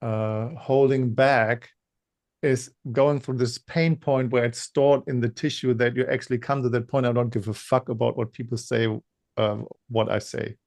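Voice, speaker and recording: A man co-hosting a webinar, talking in a halting way with many repeated words; he says he stutters when insecure. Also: mid-range voice, steady tone, a little mellow. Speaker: webinar co-host. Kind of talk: casual webinar talk.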